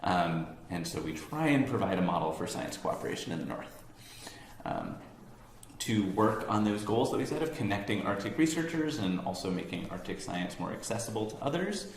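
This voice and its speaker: An American man from near Boston giving a relaxed, conversational talk to an audience, with frequent ums and self-corrections. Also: fairly low pitch, normal speed. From United States, near Boston. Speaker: science organization staff giving a talk. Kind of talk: informal presentation to an audience. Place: room with an audience. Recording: echoey room, faint background hum.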